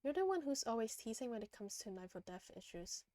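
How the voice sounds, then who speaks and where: A woman voicing a self-assured young witch fortune-teller from a video game, wry and deliberate in manner. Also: on the high side, very animated, warm tone, no room sound. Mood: wry, teasing. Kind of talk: reading video game dialogue aloud.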